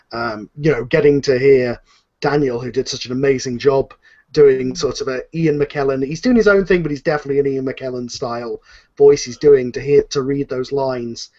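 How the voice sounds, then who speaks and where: A man's voice: mid-range voice, darkish tone. A man who writes an audio drama, talking enthusiastically in a casual panel chat, rambling with many fillers and restarts. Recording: muffled lo-fi recording.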